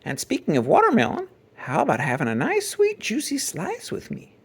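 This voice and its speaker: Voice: mid-range voice, huge pitch swings, normal speed, husky, voice pushed up, full character voice. A storybook reader voicing a folksy male farmer bear who scolds and explains in a country manner. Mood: folksy, instructive. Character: angry-sounding, folksy old farmer bear.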